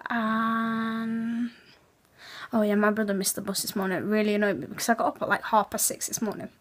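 A teenage girl from England, raspy and hoarse, chatting quietly in a late-night webcam vlog in her room, half-whispering so as not to wake the house. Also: mid-range voice, flat delivery, brisk. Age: teens. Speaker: vlogger. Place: bedroom at night, indoors. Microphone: laptop webcam.

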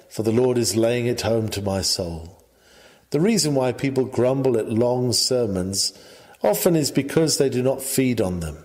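A man reading an old sermon aloud in a measured, formal, earnest preaching manner. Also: mid-range voice, darkish tone. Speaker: reader of a historical sermon. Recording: long hall-like reverb.

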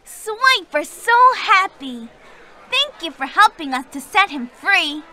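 A woman's voice: extremely high pitch, very animated, takes it slowly, heavily acted. A woman voicing a cheerful young explorer girl who talks directly to the player in a children's game, with game music behind. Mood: excited. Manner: bright, encouraging, speaks to the player. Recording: game audio over clear music.

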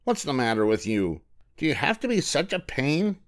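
Storybook koala mum, played by a man: pitched up, patient yet exasperated, lively swings. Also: moderate pace, lightly acted. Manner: sensible, coaxing, sighing parent. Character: mother koala, patient but exasperated, cheerfully embarrassing.

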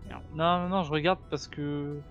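A man's voice: a little high, steady tone, moderate pace, highs cut off, faint music behind. Man guiding a co-op game. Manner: explains the game and guides his partner. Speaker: gamer, co-host of a gaming channel. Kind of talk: casual co-op gameplay chat.

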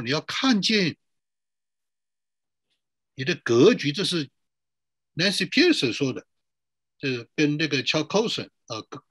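A man's voice: on the high side, a bit bright, breathy edge, no room sound. A middle-aged man pastor giving a sermon to an online church, posing rhetorical questions and drawing out emphatic phrases.

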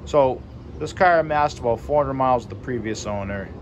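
A man's voice: mid-range voice, a little fast. A man talks in an easy, rambling way while he films his project in a small wooden garage. His voice sits in an ordinary middle range, with a faint hum of noise behind him. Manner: relaxed, conversational, loose with fillers. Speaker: railcar hobbyist showing his car. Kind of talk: casual video walkthrough. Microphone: phone camera held by the speaker.

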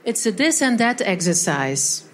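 High-voiced Belgian woman presenting a workshop. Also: big pitch swings, unhurried, slight background noise. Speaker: seminar trainer. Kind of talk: live workshop talk. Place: event hall.

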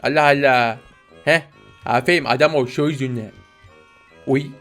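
A man's voice: medium pitch, fairly even intonation, normal speed, a bit bright, faint music behind, voice pushed down, lightly acted. A man voicing a cheeky, demanding baby boy in a video game, whiny, bossy and teasing. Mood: teasing, protesting.

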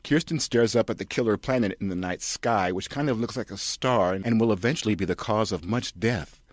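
A man's voice: on the low side, a little fast, no room sound. A man reading a scripted satirical narration in a snarky manner. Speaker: narrator, reader.

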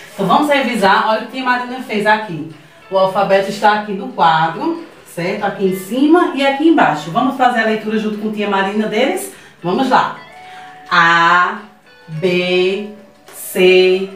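A woman in her thirties, a preschool teacher with a Brazilian Portuguese accent, talking a class of young children through worksheets patiently and often checking in with them. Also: mid-range voice. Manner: patient, repeats "tá certo?" to check in. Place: small classroom with whiteboard, indoors. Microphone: camera across the room. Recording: roomy sound from a distant camera, soft music.

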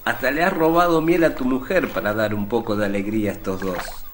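A man's voice: lowish voice, fairly even intonation, fairly quick, a bit bright, a little hiss or hum, close to an everyday voice. An actor playing an affectionate, playfully mocking fisherman brother in a religious radio play. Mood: amused teasing.

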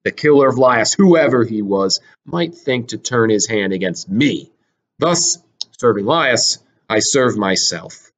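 A man's voice: medium pitch, expressive, unhurried, voice pushed down. A man voicing a resolute, questioning king in a Greek tragedy, with a declamatory, probing delivery.

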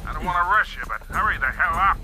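A gruff getaway partner in an action game. His voice is very sharp and forceful, a little high in pitch, and his talk is brisk and wry over faint music. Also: animated, heavily acted, low-quality recording. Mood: impatient. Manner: brisk, colloquial, urgent. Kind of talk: video game radio-chatter acting.

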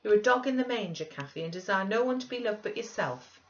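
A woman voicing an imperious, sharp-tongued young married gentlewoman in an audiobook, emphatic and scornful. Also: low voice, lively intonation, normal speed, dull audio. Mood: scornful surprise. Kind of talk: dramatized audiobook reading.

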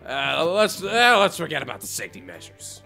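A man's voice: on the high side, huge pitch swings, a little fast, creaky, pushed and bright, faint music behind, exaggerated voice. A man voicing a jaded, foul-mouthed female team leader in a theatrical, crude comedic dub of a visual novel. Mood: bitter, ranting. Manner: theatrical, crude, world-weary.

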